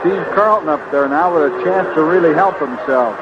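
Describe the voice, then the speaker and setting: A man's voice: on the high side, moderate pace, over a noisy crowd. A man giving conversational color commentary on a live radio baseball broadcast. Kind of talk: conversational live game analysis. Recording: noisy, dull vintage radio broadcast. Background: outdoor stadium ambience.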